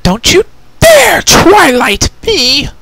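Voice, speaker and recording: Cartoon pony heroine, young female: high, swooping, distrustful and sharply emphatic. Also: wildly swooping pitch, very slow, a bit bright, no room sound, exaggerated voice. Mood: angry, indignant. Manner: indignant, emphatic, stammering when upset.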